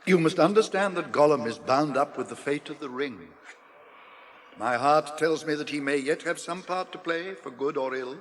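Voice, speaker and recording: An actor playing a grave, compassionate old wizard in a radio drama, solemn and deliberate. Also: male voice, a little high, a little hiss or hum, natural voice.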